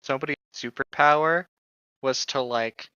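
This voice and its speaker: A man's voice: mid-range voice, moderate pace, bright, forceful tone, smooth, dry recording, highs cut off. A man co-hosting a podcast, joking casually with slangy, profane, mocking commentary on a book. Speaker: podcast co-host.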